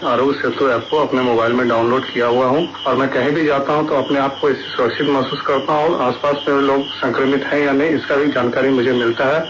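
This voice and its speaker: A man's voice: mid-range voice, little pitch movement, quick pace, hardly any pauses. Older man, dull noisy recording. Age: 60s or older. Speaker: app user giving a testimonial. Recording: dull lo-fi clip with clear noise.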